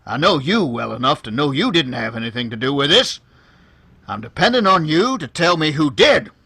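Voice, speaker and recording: Guy doing gravelly old west sheriff for radio, blunt, bright edge to it. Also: fairly high pitch, sing-song, normal speed, no room sound, full character voice. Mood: grim. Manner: blunt, folksy. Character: blunt, tough western sheriff, weary and stern.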